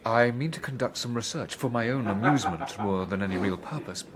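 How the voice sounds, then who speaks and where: An actor playing an educated gentleman scientist with a haunted air, speaking in a formal, literary way in a gothic radio drama. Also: fairly low pitch, a little fast, a loud crowd, lightly acted. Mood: polite, guarded.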